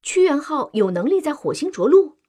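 An actress playing a TV news host of about thirty, delivering a formal broadcast in a radio drama. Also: female voice, sits high, sing-song, normal speed, warm tone. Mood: formal, lively.

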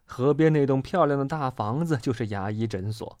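A storyteller narrating a children's storybook in an expressive, dramatic way. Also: mid-range voice, moderate pace, dry recording, clean studio sound.

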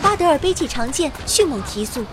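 A woman playing a timid young adventurer girl, narrating a battle in first person with expressive radio drama delivery. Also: sits high, fairly quick. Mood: tense storytelling. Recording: noisy battle effects behind.

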